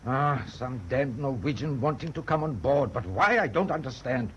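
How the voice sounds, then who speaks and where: An actor playing a weary, irritable German merchant ship captain in a radio drama, giving curt, imperious orders. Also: male voice, medium pitch, expressive, normal speed, fairly bright tone, close to an everyday voice. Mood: irritable, commanding. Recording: dull, noisy old radio drama recording.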